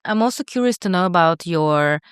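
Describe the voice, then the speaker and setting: Woman podcast host, chatty, somewhat low voice, dry close recording. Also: lively intonation, fairly quick, clean tone, no echo. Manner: chatty, many "like"s and "yeah"s, acts out quotes. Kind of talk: casual interview chat.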